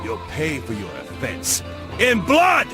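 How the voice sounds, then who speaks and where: Shrill, furious nobleman villain. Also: wildly swooping pitch, slow, heavily acted. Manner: shouting, theatrical. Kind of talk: video game cutscene acting. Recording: loud music behind.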